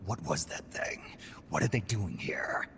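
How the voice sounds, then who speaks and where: An actor playing a hardened, terse male mercenary soldier in a game cutscene, with a deep gravelly murmur. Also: expressive, unhurried, a bit bright, whispered, exaggerated voice. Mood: hushed. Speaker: game character. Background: game score music.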